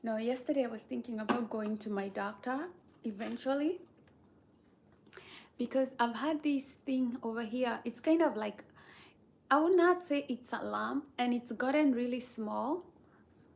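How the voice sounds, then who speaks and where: A woman from Kenya chatting casually on a live stream, rambling in an unhurried way and talking straight to her viewers. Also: a little high, vocal fry. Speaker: livestreamer.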